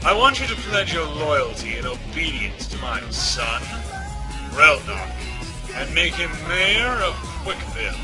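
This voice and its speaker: A scheming storybook crime boss, acted by a male narrator, pitched a little high. He threatens formally and calmly over clear background music. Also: a little slow. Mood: coercive. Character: menacing adult male crime boss, calm, calculating blackmailer.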